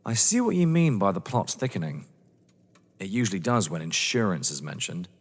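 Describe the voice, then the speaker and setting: A man's voice: deep voice, big pitch swings, normal speed, husky, big-room sound, heavily acted. A man playing a proud, guarded young lover in a theatrical audio drama, answering with dry retorts. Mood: wary.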